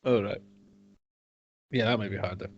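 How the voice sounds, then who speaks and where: A man co-hosting a casual whisky podcast, dry and a bit hesitant, often trailing off quietly. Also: fairly low pitch, steady tone, normal speed. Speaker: podcast co-host. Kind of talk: casual tasting chat. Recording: dull lo-fi home podcast audio.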